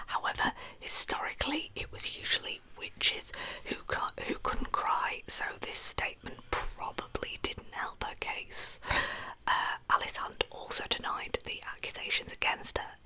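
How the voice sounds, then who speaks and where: A woman whispering a true crime story in a soft-spoken ASMR style, with chatty, conversational asides. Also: low-quality recording.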